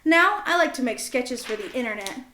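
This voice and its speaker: High-voiced, giggly young woman in a roomy space. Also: animated, fairly quick, bright, forceful tone, a little hiss or hum. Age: young adult. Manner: giggly, goofy, self-mocking. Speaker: podcast co-host. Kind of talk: casual chat between best friends, with bits of journal reading. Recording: roomy, echoey indoor sound.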